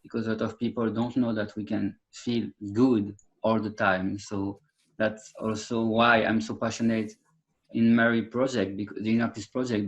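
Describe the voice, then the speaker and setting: A man, a therapist co-hosting a video call, earnest and halting with fillers. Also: fairly low pitch, rough recording. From France. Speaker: therapist, co-host. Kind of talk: casual self-introduction.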